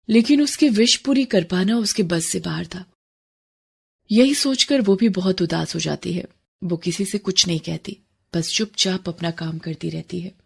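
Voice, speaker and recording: A woman's voice: fairly low pitch, vocal fry, a little mellow, husky. A woman narrating a scripted moral story for children as a storyteller.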